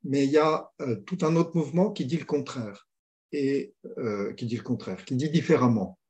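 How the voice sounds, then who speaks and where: Man, mediator, mellow warm tone, hesitant, lots of restarts, storytelling. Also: medium pitch, moderate pace. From France. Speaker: mediator guest. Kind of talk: conversational interview.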